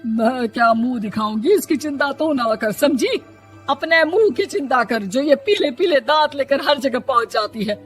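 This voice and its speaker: A woman voicing a scolding, sarcastic older mother-in-law in a moral story, sharp-tongued and nagging. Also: high-pitched, lively intonation, moderate pace, breathy edge, soft background music, pitched above the performer's own voice. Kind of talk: animated moral story voice acting.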